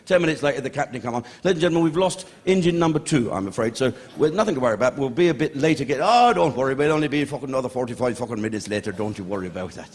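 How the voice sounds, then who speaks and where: Lively, sweary stand-up comedian. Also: male voice, medium pitch, rapid-fire, few pauses. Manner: swearing, storytelling, acting out voices. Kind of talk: stand-up routine.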